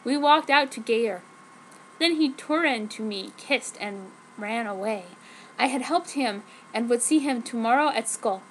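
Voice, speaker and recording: High-voiced woman reading theatrically over noise. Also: unhurried, fairly bright tone, rough recording. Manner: theatrical, reads misspellings literally. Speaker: reader of fan fiction. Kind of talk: dramatic comic reading of fan fiction.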